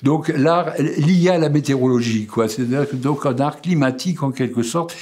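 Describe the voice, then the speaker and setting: A man in his eighties from Alsace, whose first language is Alsatian, speaks haltingly about his life, with many fillers and repeated words. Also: mid-range voice, a little mellow. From Alsace, France. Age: 80s. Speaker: painter and sculptor interviewed. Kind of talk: hesitant reflective monologue.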